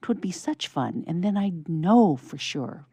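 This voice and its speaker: A woman's voice: lowish voice, expressive, a little slow, warm tone, husky, no room sound, heavily acted. Woman playing an eager, wistful little girl. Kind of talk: storybook reading.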